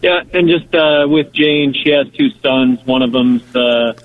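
A man's voice: mid-range voice, a little slow, dry recording. A first-time male caller to a prayer radio show, speaking plainly and hesitantly with many fillers. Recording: tinny phone line.

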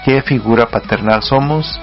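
A male radio host with a mid-range voice, talking in a loose, rambling way with frequent fillers and laughs. The broadcast sound is muffled and lo-fi, with soft music in the background. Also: medium pitch, normal speed. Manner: chatty, rambling, laughs often, hesitant fillers. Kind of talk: casual radio chat.

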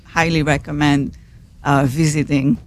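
A woman's voice: low voice, flat delivery, measured pace. A middle-aged woman from Belgium, a native French speaker with a Belgian French accent, talking as a guest in a live interview.

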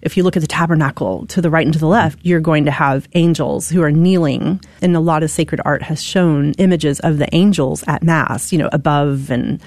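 A woman's voice: low-pitched, fairly even intonation, normal speed, hardly any pauses, a little mellow, dry recording. A woman guest in a recorded radio interview who speaks with enthusiasm and meanders through her thoughts.

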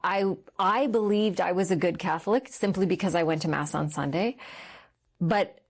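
A woman in her thirties from Canada, a guest sharing her personal faith story in an earnest, reflective way. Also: fairly low pitch. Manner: earnest and reflective, slowing down on key words.

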